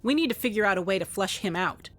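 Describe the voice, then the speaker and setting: A woman's voice: medium pitch, lively intonation, fast, fairly bright tone, close to an everyday voice. A woman playing a wry, grieving police investigator in a contemporary radio drama. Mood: eager.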